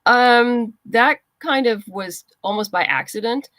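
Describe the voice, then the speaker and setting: A woman's voice: fairly high pitch, slow pace, a bit bright, no room sound. A woman being interviewed as a writer, giving explanatory, conversational answers.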